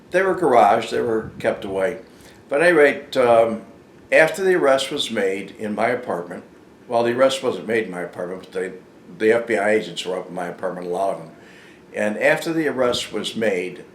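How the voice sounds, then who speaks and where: An older man telling a casual, unscripted story in a halting, rambling, plain-spoken way. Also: on the low side. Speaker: storyteller, former informant hosting his own channel. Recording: echoey room with faint background noise.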